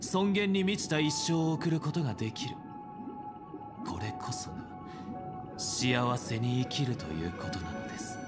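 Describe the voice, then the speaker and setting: An actor playing a calm, idealistic male leader in a video game, speaking in polite, measured, solemn persuasion. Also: mid-range voice, close to an everyday voice. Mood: solemn, serene. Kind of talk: Japanese video game voice acting. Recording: music under the voice.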